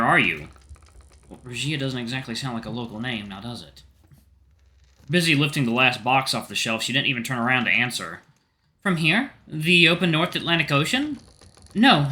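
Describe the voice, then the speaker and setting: A man hosting a let's play, chatting casually and reading the story text aloud in an upbeat, lively way. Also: medium pitch.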